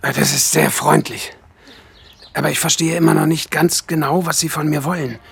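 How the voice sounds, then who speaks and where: Radio drama: cultured, secretive aesthete, heavy creak, slightly high for a man, formal and sinister. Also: fairly even intonation, normal speed, full character voice. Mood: guarded courtesy. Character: mysterious wealthy male film aesthete, courteous and sinister.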